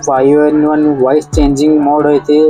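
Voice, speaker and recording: A man's voice: on the high side, monotone, a little fast, dark tone, faint music behind, poor audio. A man with a North Karnataka accent giving a casual product unboxing and review, in a repetitive way with short exclamations. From Karnataka, India.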